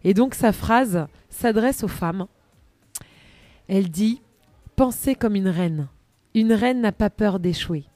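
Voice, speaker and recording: A woman presenting a chatty live radio magazine segment in a bubbly, playful and expressive manner. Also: mid-range voice, slow pace, pauses often, no room sound.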